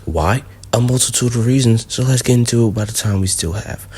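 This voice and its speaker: A man's voice: mid-range voice, fairly quick, darkish tone, husky. A man hosting a casual countdown video, rambling and leaning heavily on fillers. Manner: rambling, heavy on fillers like "you know". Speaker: video host.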